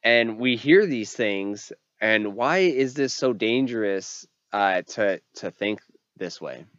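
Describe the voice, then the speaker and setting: A man hosts a faith podcast as its pastor, sick and congested, so his voice comes out nasal and a little low. He talks in a loose, rambling way, full of fillers and restarts. The recording is dull and lo-fi, with a dry sound. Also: lively intonation, slow pace, fairly bright tone, no room sound. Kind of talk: casual faith podcast talk.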